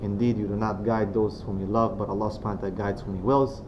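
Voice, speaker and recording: A man from Romania gives a talk on faith to a room of students. He speaks in a fairly low voice, softly and without hurry. The recording is muffled and has a steady noise behind it. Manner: soft-spoken, unhurried, many pauses and fillers. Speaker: guest speaker. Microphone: venue microphone. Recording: dull lo-fi sound with clear background noise.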